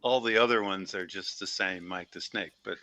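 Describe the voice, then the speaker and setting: A man's voice: sits low, moderate pace, bright, forceful tone. A man chatting casually on a livestream, offering helpful step-by-step suggestions.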